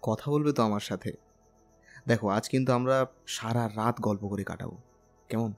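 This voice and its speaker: Man acting, young bridegroom, tender, teasing, softly persuasive, romantic radio-play style, background music. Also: on the low side, normal speed. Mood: teasing, affectionate. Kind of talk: Bengali romantic audio drama acting. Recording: music bed under the voice.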